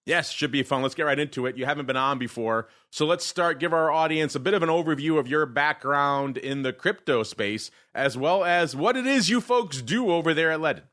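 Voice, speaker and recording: A man's voice: fairly high pitch, expressive, brisk, few pauses, a bit bright. A man hosting a podcast, introducing and questioning a guest in polished, wordy broadcaster phrasing. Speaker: podcast host. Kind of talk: scripted show host talk.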